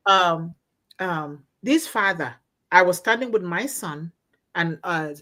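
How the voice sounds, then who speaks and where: A woman's voice: on the low side, expressive, takes it slowly, fairly bright tone. A woman from Africa hosting a discussion, speaking in an impassioned, informal way and repeating phrases for emphasis. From born and raised in Africa. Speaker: host of a discussion. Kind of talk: impassioned informal storytelling and exhortation.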